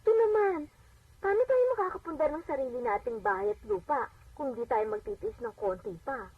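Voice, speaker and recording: An actress playing a devout, lively working mother and bossy older sister in a radio drama, speaking in an expressive, conversational way. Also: sits high, fairly quick, gravelly, dry recording, full character voice. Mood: insistent. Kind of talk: Filipino radio drama acting. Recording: dull radio playback, distant, music behind.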